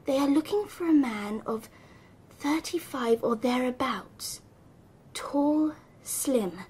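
An anxious English girl telling her story in a radio play. Her voice is high and breathy, and she tells it in a hushed, deliberate, intense way. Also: animated, exaggerated voice. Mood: halting, reading aloud. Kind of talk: radio drama first-person narration.

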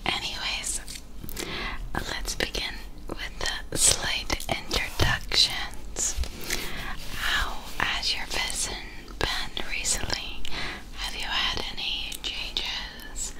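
A young woman speaks in an unvoiced, breathy whisper with a crackly, gravelly edge. She takes her time, repeating small phrases as she addresses the listener directly. It is an intimate ASMR roleplay of an eye exam, captured on a close binaural microphone. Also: extremely low pitch, monotone, extremely slow. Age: young adult. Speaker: ASMR performer roleplaying an optometrist. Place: small dim room indoors. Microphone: close binaural ear microphone.

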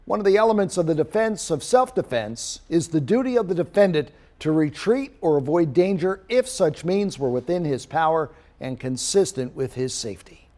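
A middle-aged man reading the news as a studio anchor, in a measured, formal delivery. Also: on the high side, expressive, mellow.

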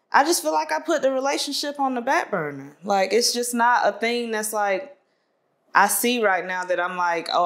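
A woman in her twenties talks openly as a guest, filling her sentences with small hesitations and frequent laughter. She sits in a bright studio and is picked up by a lapel mic. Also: mid-range voice. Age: 20s. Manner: candid, laughs often, many fillers. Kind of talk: casual conversation.

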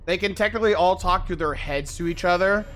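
A streamer giving casual, expressive livestream commentary while playing a game. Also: mid-range voice, fairly quick. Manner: animated, expressive. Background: game music.

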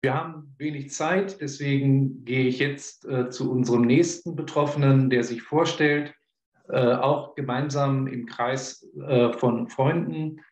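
An older man moderating an online press conference, brisk and pausing on fillers over a webcam. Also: medium pitch, fairly even intonation, darkish tone. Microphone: webcam on a video call. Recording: roomy, dull video call audio.

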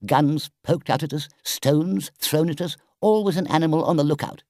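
A man's voice: on the high side, normal speed, darkish tone, no echo, studio quality, pitched above the performer's own voice, close to an everyday voice. A man voicing a grumpy, earnest male mole in a storybook, with an emphatic, complaining way of talking.

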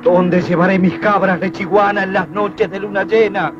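An actor playing a taunting goatherd villager in a theatrical film drama. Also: male voice, sits high, steady tone, moderate pace, natural voice. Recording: dull, very noisy old film soundtrack.

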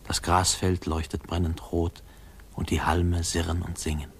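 Wistful storyteller guy, deep voice, lyrical, old radio drama with faint hiss. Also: level tone, measured pace. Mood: melancholy, reflective. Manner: reflective, lyrical. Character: wistful grown man remembering his boyhood. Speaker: narrator. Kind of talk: 1950s radio drama narration.

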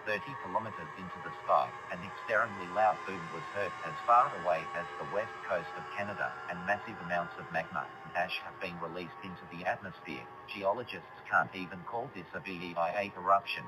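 A man playing a grave government representative reading a scripted emergency broadcast in a formal, measured manner. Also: sits low, natural voice, poor audio. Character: grave male presidential representative giving a catastrophe warning.

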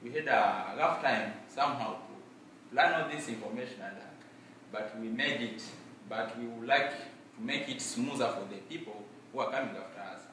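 A young man from Congo, a native Mashi speaker, giving an earnest student presentation in a library room with many filler pauses. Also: mid-range voice, pushed and bright, slight background noise. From Democratic Republic of Congo. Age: young adult. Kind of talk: formal presentation to an audience. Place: library room with bookshelves, indoors. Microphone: far camera. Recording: echoey room, from far off.

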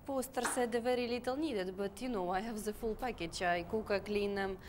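A woman's voice: medium pitch, noisy background, lightly acted. A woman playing an earnest job-seeker. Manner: broken English, halting, earnest. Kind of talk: comedy sketch acting.

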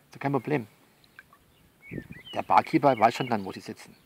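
A male actor plays a man coaching someone over the phone in an audio drama. His voice is gravelly and mid-pitched, with faint noise behind it. He reassures and bosses in turn, in halting, repeated instructions. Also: natural voice. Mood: insistent, instructive. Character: bossy, soothing male confidant coaching a nervous woman. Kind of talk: one-sided phone conversation in an audio novel.

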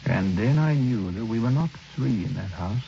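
Low, creaky-voiced troubled man. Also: animated, normal speed, mellow. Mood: grave, measured. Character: troubled, reluctant male lodger telling his ghost story. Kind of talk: old radio drama acting and narration. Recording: muffled, noisy old radio recording.

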